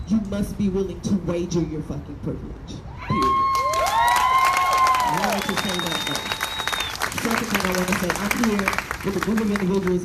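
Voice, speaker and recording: Blunt rally organizer over a crowd. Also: mid-range voice. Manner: blunt, emphatic, swears for emphasis. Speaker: rally organizer introducing speakers. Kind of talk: impassioned rally speech. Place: outdoors at a city hall rally. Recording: echoey, with crowd and street noise.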